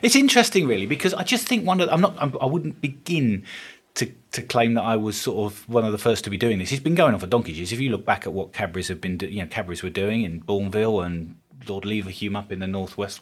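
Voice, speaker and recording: A middle-aged man from England speaks as a guest in a relaxed interview. His voice sits fairly low with a husky, breathy edge. He talks earnestly and often doubles back over his words as he thinks aloud. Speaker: podcast guest, business consultant. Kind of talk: casual interview chat.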